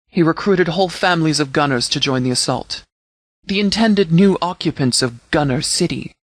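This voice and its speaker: A man playing a stern sheriff in a video game, giving formal, measured briefings with authority. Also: fairly high pitch, a bit bright, no room sound, lightly acted. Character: stern, formal sheriff commanding a post-apocalyptic settlement militia. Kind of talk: scripted video game dialogue, military briefing.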